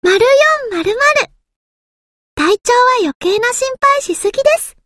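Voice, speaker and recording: A woman's voice: extremely high pitch, expressive, moderate pace, fairly bright tone, no echo, clean studio sound. A woman voicing a small, timid, earnest anime game submarine girl, with a thin childlike voice and a hesitant, polite manner. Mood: dutiful, polite. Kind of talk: anime game character voice lines.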